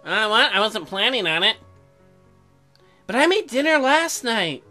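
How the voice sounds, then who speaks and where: A performer playing meek young husband, visual novel character, hesitant stammer, apologetic, bright tone, faint music. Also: mid-range voice, very animated, a little slow.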